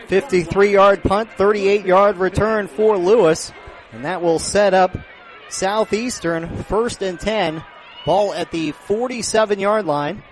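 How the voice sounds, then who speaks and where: A high-voiced American man works as a play-by-play announcer on a live football radio broadcast. He projects clearly and lifts into excitement when the action builds. Some outdoor stadium noise sits behind him. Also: slow. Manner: projected, excited on big plays.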